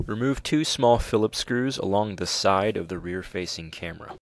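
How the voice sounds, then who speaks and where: Male tutorial narrator, lower register, scripted step-by-step delivery, dry close-miked sound. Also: expressive, normal speed, no echo.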